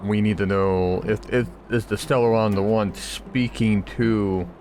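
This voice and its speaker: A man's voice: fairly low pitch, steady tone, measured pace, a little mellow, a little hiss or hum. A man giving casual let's play commentary, musing and loosely thinking aloud as he plays. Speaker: gamer commentating on a playthrough. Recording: game music behind the voice.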